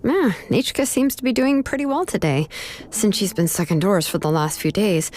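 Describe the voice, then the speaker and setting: A woman's voice: mid-range voice, normal speed, a little hiss or hum, close to an everyday voice. A woman playing an easygoing, reassuring young craftswoman. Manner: relaxed, reassuring. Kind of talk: game cutscene voice acting. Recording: game audio with background music.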